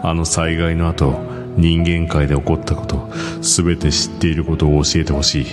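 A grave, world-weary sorcerer, played by a man, in a voice drama. His voice is extremely low, and he speaks slowly and solemnly. Music runs underneath him. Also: level tone. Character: grave lone-surviving male sorcerer master. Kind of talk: voice drama acting.

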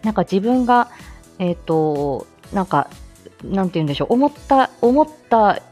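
Woman chatting with lively, swooping pitch. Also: normal speed. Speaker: host of a weekly morning livestream; speech-language therapist. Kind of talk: casual chatty livestream talk. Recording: faint music behind the voice. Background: faint background music.

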